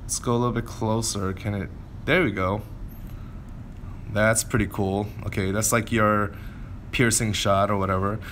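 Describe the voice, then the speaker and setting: A man's voice: on the low side, steady tone, normal speed, long pauses, fairly bright tone, slight background noise. A man giving casual gameplay commentary, informally thinking aloud as he plays. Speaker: gameplay video creator.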